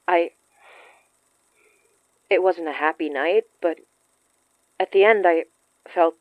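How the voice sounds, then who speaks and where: A woman acting in a fiction podcast as a shaken, earnest young woman who confides shyly in halting phrases. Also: lowish voice, dry recording, narrow, tinny audio. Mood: shy, happy. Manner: hesitant, halting, confessional.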